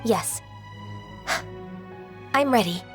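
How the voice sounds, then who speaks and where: Polite earnest anime game girl, young adept, highish voice, bit of music behind. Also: expressive. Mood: apologetic, hesitant. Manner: polite, measured, formal. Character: gentle, earnest half-human immortal young woman archer. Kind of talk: anime game English dub. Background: soft game music.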